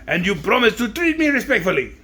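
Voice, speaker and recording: A man's voice: sits high, big pitch swings, fast talker, a bit bright, pitched above the performer's own voice. A man voicing a stubborn little male crab in a storybook reading, with a mocking, obstinate manner.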